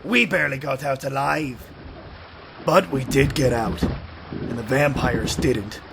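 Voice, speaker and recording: A man playing a gruff, world-weary warrior in a fantasy audio drama, blunt and gravelly, with rough colloquial speech. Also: medium pitch, unhurried, noisy background.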